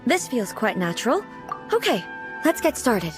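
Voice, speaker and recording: An actress playing a dignified, pensive female ruler in a video game, speaking formally and deliberately. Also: fairly high pitch, sing-song, music in the background. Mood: awkward, hesitant. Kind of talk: scripted video game dialogue.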